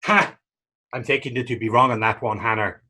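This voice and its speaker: An actor playing a bitter, hot-blooded republican in a stage drama, emphatic and indignant. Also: male voice, mid-range voice, brisk, sharp tone. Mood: bitter, sardonic. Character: hot-blooded, bitter, one-eyed male Irish republican. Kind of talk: stage readers theater drama.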